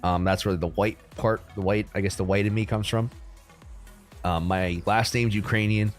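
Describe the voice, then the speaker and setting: Young guy streaming from home, lowish voice, rambly and chill, faint music behind. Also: moderate pace. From United States. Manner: relaxed, rambling, frequent ums and likes. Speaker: crypto livestream host. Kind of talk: casual livestream chat answering viewers. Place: room at home.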